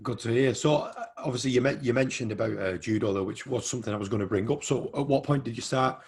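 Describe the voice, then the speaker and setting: A man's voice: mid-range voice, fast talker. A man hosting a podcast, friendly and encouraging, asking a guest about his background. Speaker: podcast host. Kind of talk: casual podcast interview.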